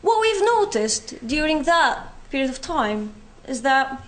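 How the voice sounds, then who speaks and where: A young woman with a Palestinian British accent, giving an earnest talk on her own experience, often pausing with fillers. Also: high-pitched, very animated. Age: young adult. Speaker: guest speaker. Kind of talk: university talk from personal experience.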